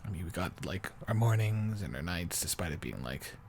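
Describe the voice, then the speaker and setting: Guy acting as a sweet doting boyfriend, low voice, soft rambly bedtime talk, noisy background. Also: animated, vocal fry. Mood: giddy, tender. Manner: soft, halting, rambling, often dropping toward a whisper. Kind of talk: improvised intimate roleplay audio, sleep aid.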